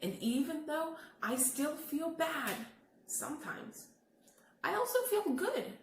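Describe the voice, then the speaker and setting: A woman's voice: high voice, slow pace, voice pushed up, full character voice. Woman reading as a dramatic misunderstood bad seed, echoey. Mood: hopeful, earnest. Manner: theatrical, expressive storybook delivery. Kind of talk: children's picture book read-aloud. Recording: echoey room with faint noise.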